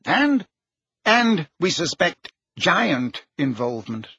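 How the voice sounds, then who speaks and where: A man's voice: fairly high pitch, big pitch swings, slow, pushed and bright, husky, dry recording, muffled recording, voice pushed up, full character voice. A man voicing a flustered, defensive older wizard minister in an audiobook, speaking with agitated urgency. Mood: miserable, anxious. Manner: agitated, urgent, self-justifying. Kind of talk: audiobook character acting.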